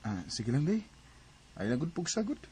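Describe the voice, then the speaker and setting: An actor playing a young live-in boyfriend in a radio drama, speaking with a Cebuano Filipino accent. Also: male voice, on the high side, sing-song, moderate pace, warm tone, a little hiss or hum. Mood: affectionate, concerned. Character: young live-in boyfriend, easygoing turning suspicious.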